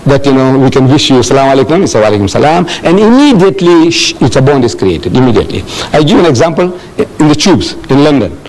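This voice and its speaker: An older man from South Africa answering audience questions in a lecture, animated and telling stories with acted-out dialogue. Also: mid-range voice, fast, few pauses, poor audio.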